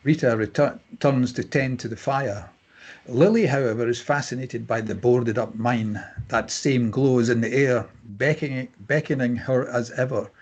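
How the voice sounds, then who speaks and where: A man's voice: medium pitch, moderate pace, a little mellow. A man reading scripted stage directions as narrator at a table read.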